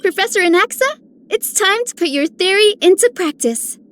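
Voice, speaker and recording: A woman's voice: very high-pitched, animated, moderate pace, fairly bright tone. A woman voicing a dutiful, caring young healer in a video game, polite and earnest. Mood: mildly exasperated. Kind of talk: video game character voice lines.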